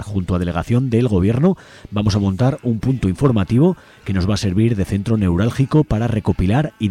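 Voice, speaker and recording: A man with a Spanish accent from Spain hosts community radio from a studio. His voice is deep and soft-edged as he reads a prepared announcement, with music faintly underneath. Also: slightly rough.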